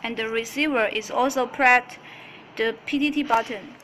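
Higher-pitched woman giving a halting product demo. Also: very noisy. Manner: halting, repeats phrases while explaining in non-native English. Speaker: company product demonstrator. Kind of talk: product tutorial, semi-scripted demonstration. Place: indoors at a desk.

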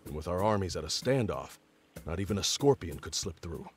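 A gruff, shrewd army officer from a video game. His voice sits low and moves expressively, with a matter-of-fact way of laying things out. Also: animated, a little fast, faint music behind. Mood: cautioning.

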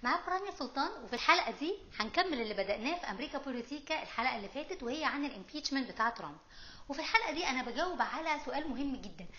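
Middle-aged woman, Egyptian accent, bright forceful voice, conversational explainer, emphatic, slightly dull recording with faint noise. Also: high-pitched. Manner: emphatic, conversational, mixes in English terms. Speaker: host of a political explainer video series. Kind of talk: informal explanatory commentary to camera.

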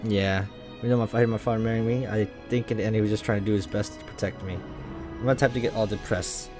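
A man's voice: fairly low pitch, steady tone, quick pace, music in the background, natural voice. A man reading as earnest young female pilot. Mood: apologetic, resolute. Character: earnest young female mech pilot, resolute runaway royal.

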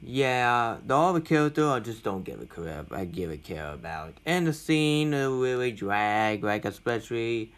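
A man's voice: lively intonation, fairly bright tone. A young man records an informal movie review. He complains bluntly, often stopping and starting and repeating his points as he goes. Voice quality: self-described deep voice. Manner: halting, repetitive, blunt complaining.

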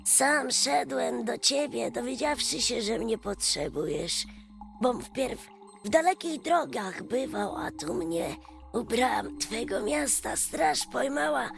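A woman voicing a calm, unafraid old male merchant in a fairy tale, speaking slowly and solemnly with a creaky voice. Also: fairly high pitch, pitched above the performer's own voice, full character voice. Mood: calm, dignified. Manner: slow, solemn, deliberate. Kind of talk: fairy-tale storybook character voice. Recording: music behind the voice.

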